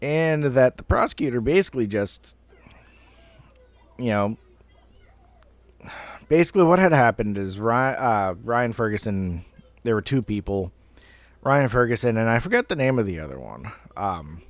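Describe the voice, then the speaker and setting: A man in his thirties telling a personal story casually, in a rambling, halting way with some swearing. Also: medium pitch, sing-song, dry recording. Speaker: amateur storyteller.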